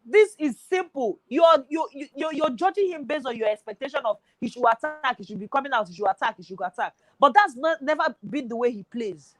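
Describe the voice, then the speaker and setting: A woman with a fairly high voice argues her view of a football match with insistence and force. Her voice is close and dry, with no room around it. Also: animated, fairly quick, few pauses, dry recording. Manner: emphatic, argumentative. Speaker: football pundit. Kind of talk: studio football discussion.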